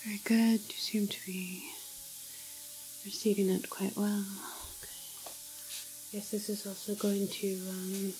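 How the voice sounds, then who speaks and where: A woman playing a calm, clinical doctor in an ASMR roleplay, soft-spoken and sometimes whispering, explaining things in a hesitant, measured way. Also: medium pitch, soft background music, natural voice, poor audio. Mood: soothing, instructing. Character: calm, clinical woman doctor at an alien-virus quarantine facility.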